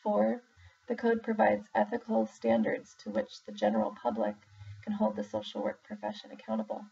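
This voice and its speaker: Very creaky woman reading aloud. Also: mid-range voice, moderate pace, warm tone. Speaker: reader, social worker in exam preparation. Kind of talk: reading a formal document aloud. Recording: muffled, distant mic with faint background noise.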